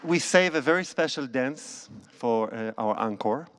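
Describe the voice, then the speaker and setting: A man's voice: mid-range voice, expressive, a little slow, fairly bright tone, clear, resonant tone, a faint crowd. A conductor and cellist talking to a concert audience from a church stage into a handheld microphone. He tells stories casually, with non-native phrasing and restarts.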